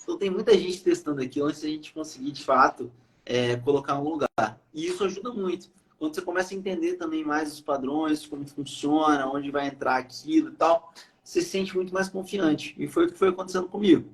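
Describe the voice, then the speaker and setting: A man's voice: on the high side, brisk, poor audio. A young Brazilian man from Brazil, a podcast guest speaking casually with many fillers and hesitations. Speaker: podcast guest, iOS developer. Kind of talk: casual interview chat.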